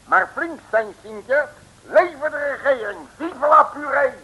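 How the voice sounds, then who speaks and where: An actor playing a brash, bossy, street-smart detective in a 1950s Dutch radio serial, full of slangy bluster. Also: male voice, extremely high pitch, animated, unhurried, pushed and bright, heavily acted, rough recording. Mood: urgent, commanding. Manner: slangy, blustering, addresses people as "broer". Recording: hissy old radio recording.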